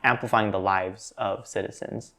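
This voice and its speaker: This is a young man speaking in a low voice on a student discussion panel. He is earnest and measured, and hedges his answers with fillers as he reasons them out. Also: steady tone, a bit bright. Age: young adult. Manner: thoughtful, hedging with fillers. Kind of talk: class discussion.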